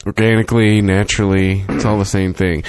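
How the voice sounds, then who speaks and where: A man co-hosting a casual chat, making quick joking asides. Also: fairly low pitch, level tone, smooth, dry recording. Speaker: podcast co-host. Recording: talk over a song playing.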